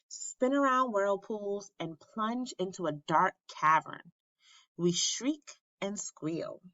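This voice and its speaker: A woman reading a children's picture book aloud like a teacher, with expressive, animated and instructive storytelling. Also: medium pitch. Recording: roomy, slightly muffled sound.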